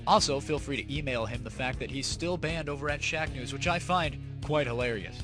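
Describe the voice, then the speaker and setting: A man's voice: mid-range voice, brisk. A man hosting a comedy audio show, talking casually to listeners in a teasing, mock-formal way. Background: background music.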